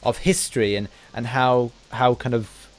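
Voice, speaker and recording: Man, medium pitch, podcast interviewer, casual chat, slightly halting phrasing. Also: fairly even intonation, no echo, soft background music.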